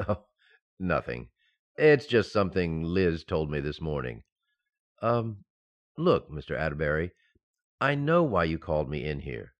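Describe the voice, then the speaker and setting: An actor playing a nervous, earnest young married office worker in a radio sitcom, with a sheepish manner and slightly muffled sound. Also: male voice, extremely low pitch, very animated, measured pace, long pauses, dry recording, exaggerated voice.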